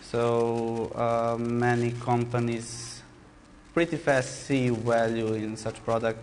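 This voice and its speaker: A man with a Serbian accent describing his software company on a panel, speaking haltingly with many restarts and cut-off words. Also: medium pitch, little pitch movement, big-room sound, a little hiss or hum. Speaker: software startup founder on a panel. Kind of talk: panel introduction.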